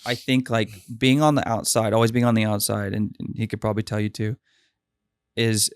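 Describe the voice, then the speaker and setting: A man hosting a casual podcast chat about weddings as a DJ, telling lively stories and acting out what people said. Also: on the low side, fairly quick, clear, resonant tone, no room sound, clean studio sound. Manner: animated storyteller, acts out quotes.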